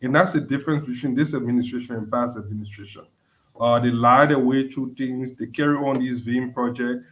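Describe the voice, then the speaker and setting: A man's voice: mid-range voice, fairly even intonation, fairly quick, a bit bright, poor audio. A man calling into a political talk show, speaking emphatically and insistently. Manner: emphatic, builds to insistent demands. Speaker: caller. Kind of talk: heated call-in debate.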